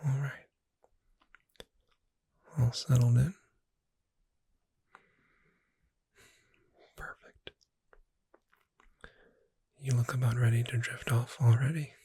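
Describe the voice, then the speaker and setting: A woman playing a gentle witch bookseller in a breathy, soothing, whispered ASMR sleep roleplay. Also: very deep voice, little pitch movement, dry recording, heavily acted. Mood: gentle, caring. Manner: slow, soothing, deliberate. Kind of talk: soft-spoken ASMR sleep roleplay, guided relaxation.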